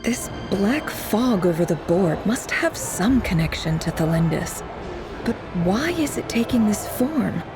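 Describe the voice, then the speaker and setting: A woman's voice: medium pitch, big pitch swings, measured pace. An actress voicing a thoughtful, inquisitive woman in a video game, with a pensive, questioning manner. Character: thoughtful, inquisitive woman puzzling over mysteries. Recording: game music clearly behind.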